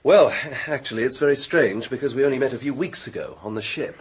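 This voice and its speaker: An actor playing an eager, obliging young fiancé in a radio drama, polite and self-assured, with a husky voice. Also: male voice, medium pitch, quick pace, natural voice. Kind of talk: British radio drama acting.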